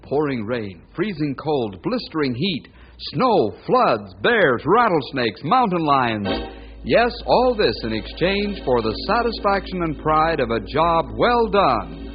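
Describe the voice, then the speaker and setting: An American actor playing a dramatic radio announcer and storyteller, declaiming scripted western adventure narration in a grandly theatrical manner. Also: male voice, on the high side, big pitch swings, slow pace, music in the background. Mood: rousing, dramatic. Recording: muffled, noisy old radio recording.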